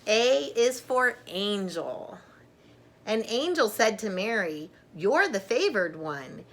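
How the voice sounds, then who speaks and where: A middle-aged woman reading a picture book aloud to children, gently and deliberately, with chatty asides. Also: medium pitch, sing-song.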